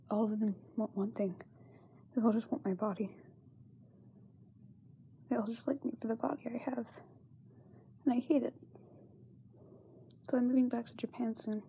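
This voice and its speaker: A young woman playing a frightened, self-doubting young singer in a halting diary monologue, often dropping to a whisper. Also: on the high side, dull audio. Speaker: amateur voice actor. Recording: noisy makeshift amateur microphone recording.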